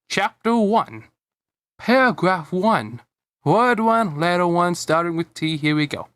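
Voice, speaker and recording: Higher-voiced man doing a casual read-aloud. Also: fairly bright tone, no room sound. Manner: stumbling, giggly, mocking the text as he reads. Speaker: fan fiction reader. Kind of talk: casual amateur read-aloud with joking asides.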